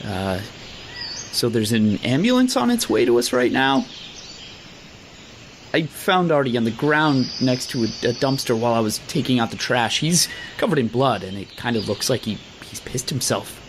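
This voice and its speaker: Young male reporter, acted, flustered and earnest comedy role, very animated, noisy outdoor birds behind him. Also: mid-range voice, normal speed, natural voice. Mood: nervous, unsure. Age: young adult. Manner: hesitant, bewildered. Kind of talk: comedy newscast acting. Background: birds and outdoor noise.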